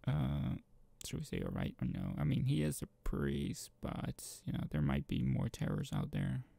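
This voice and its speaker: A man giving a casual let's play of a visual novel, reading text aloud and rambling as he thinks out loud. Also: extremely low pitch, wildly swooping pitch, normal speed, gravelly, husky, no echo. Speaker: gaming video host.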